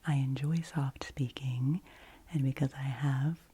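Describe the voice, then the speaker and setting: A woman hosting an ASMR channel, rambling in a deep, low, soft-spoken voice that keeps dropping into whispers, close to the microphone. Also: steady tone, measured pace. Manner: alternates soft low speaking with breathy whispers.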